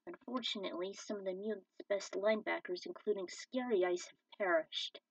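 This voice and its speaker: A woman's voice: mid-range voice, steady tone, a little fast, slightly rough, no room sound. A woman narrating and commenting on her own fan fiction as an amateur storyteller. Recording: dull, slightly distant microphone.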